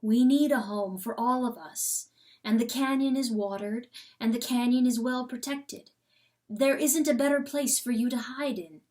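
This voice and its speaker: A woman voicing a gentle but firm female coyote wife in a storybook reading, soothing in a hushed, whispering manner. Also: on the high side, fairly even intonation, normal speed, distant mic, lightly acted. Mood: tender, hushed.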